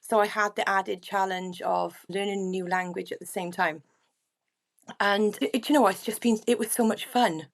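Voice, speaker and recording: A middle-aged woman speaks as a podcast guest, at an ordinary pitch for her age. She tells her story earnestly and reflectively, in a relaxed, conversational way. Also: moderate pace. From Wales. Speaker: guest singer-songwriter. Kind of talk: casual interview chat.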